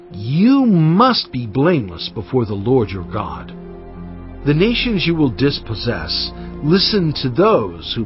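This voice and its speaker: A man reading scripture as a dramatized narration, solemn, measured and emphatic in delivery. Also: mid-range voice, lo-fi sound. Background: cinematic music.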